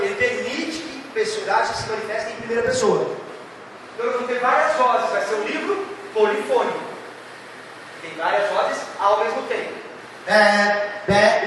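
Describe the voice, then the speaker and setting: A man from Brazil with a São Paulo accent, giving a lively, theatrical literature lecture and addressing students directly. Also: very high-pitched, normal speed. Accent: São Paulo state Brazilian Portuguese. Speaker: literature teacher. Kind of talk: animated exam-prep lecture. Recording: echoey hall, far from mic, noisy.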